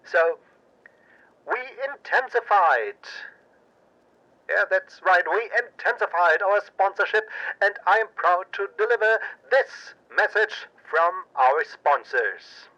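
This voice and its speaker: Paranoid patriotic radio anchor, male actor, deliberate and sharp-edged, portentous storytelling, dull broadcast sound. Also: high voice, expressive, exaggerated voice. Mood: solemn, suspicious. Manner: dramatic, portentous delivery. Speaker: news anchor, narrator. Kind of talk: pulp radio drama news report.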